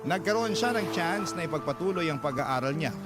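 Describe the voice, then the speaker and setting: A man reading his own life story aloud as a letter to a radio host, steady and confessional. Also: fairly high pitch, fairly quick. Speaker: letter-sender narrator. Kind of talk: scripted storytelling narration. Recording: narration over loud background music.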